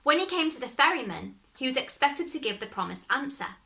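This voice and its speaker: A woman reading a fairy tale aloud, expressive and animated. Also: sits high, fairly quick. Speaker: storyteller.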